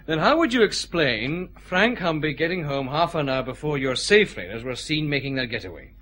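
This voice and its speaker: An actor with a Scottish accent playing a shrewd, methodical detective chief inspector who lays out his accusations deliberately in a radio drama. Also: on the high side, big pitch swings, fairly bright tone. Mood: probing. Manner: measured, accusing, deliberate interrogation.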